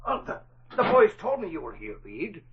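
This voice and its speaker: An actor playing a blustery, nosy newspaper man with a husky, folksy grumble in 1940s radio style. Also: sits high, wildly swooping pitch, normal speed, full character voice. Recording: dull vintage 1940s radio recording.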